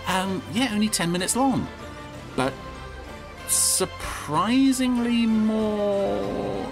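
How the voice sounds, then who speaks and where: A man's voice: sits high, takes it slowly, creaky, over music. A man co-hosting a podcast, casually commenting with wry, sarcastic asides while a clip from a show plays. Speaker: podcast co-host reviewing an episode.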